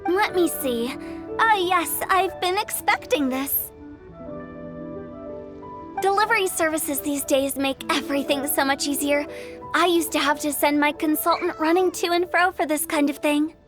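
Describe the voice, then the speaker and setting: High-voiced, mischievous young funeral director. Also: female voice, unhurried. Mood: teasing. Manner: theatrical, teasing. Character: playful, theatrical young female funeral parlor director. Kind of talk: video game voice acting. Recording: faint music behind the voice.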